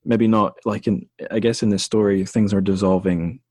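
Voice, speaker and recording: A man's voice: lowish voice, steady tone, moderate pace, darkish tone, dry recording, studio quality. A man hosting a podcast interview, talking in a thoughtful, self-deprecating way and laughing often. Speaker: podcast host. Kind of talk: casual interview conversation.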